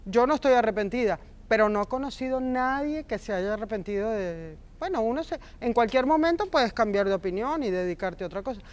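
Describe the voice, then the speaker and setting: A woman's voice: a little high, expressive, a little fast, few pauses. A middle-aged woman from Argentina who was raised in Venezuela, a film script supervisor speaking passionately in an interview. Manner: warm, passionate, emphatic repetitions. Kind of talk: interview conversation.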